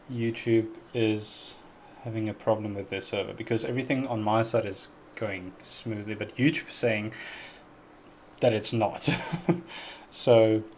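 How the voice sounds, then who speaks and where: A man's voice: lowish voice, a little mellow, slight background noise. Chatty South African man, careful diction. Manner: chatty and earnest, laughs often, pronounces words very carefully. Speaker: music reaction YouTuber hosting a live stream. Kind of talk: casual live-stream Q&A, answering chat.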